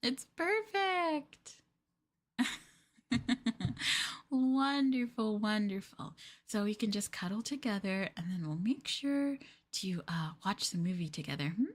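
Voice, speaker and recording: Girl doing a clingy obsessive yandere gf for asmr, cooing sweetly, real slow talking. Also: mid-range voice, very animated. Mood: coaxing, affectionate. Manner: cooing, coaxing, with murmurs and giggles. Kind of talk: ASMR girlfriend roleplay.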